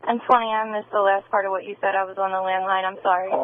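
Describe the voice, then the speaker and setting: A woman's voice: medium pitch, little pitch movement, quick pace, no room sound. A woman working as a police dispatcher, speaking tersely in codes and numbers over a two-way radio. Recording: tinny narrowband police radio transmission.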